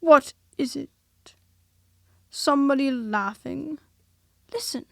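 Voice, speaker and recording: A woman doing an excited young boy. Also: sits high, slow, creaky, no echo, clean studio sound, pitched above the performer's own voice, full character voice. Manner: excited, bright exclamations. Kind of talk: storybook reading.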